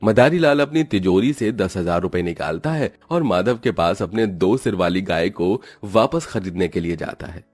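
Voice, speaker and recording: A man narrating a cartoon moral story in a steady, dramatic storytelling style. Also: deep voice, normal speed, hardly any pauses.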